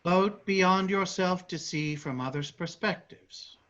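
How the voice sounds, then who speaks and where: A woman's voice: on the low side, slow pace. A woman in a staged play reading, playing a free-spirited, easygoing spirit who encourages a young girl. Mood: light, encouraging. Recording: dull lo-fi recording.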